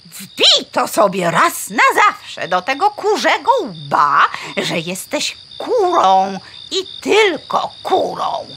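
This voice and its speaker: A woman playing a sharp-tongued, exasperated hen in a children's audio play, scolding sarcastically with bursts of clucking. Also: high voice, wildly swooping pitch, slow, a little hiss or hum, full character voice. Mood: outraged.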